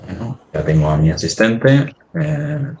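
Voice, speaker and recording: A man presenting a live software demonstration in a webinar, haltingly thinking aloud as he works on screen. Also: mid-range voice, very animated, gravelly, a little hiss or hum.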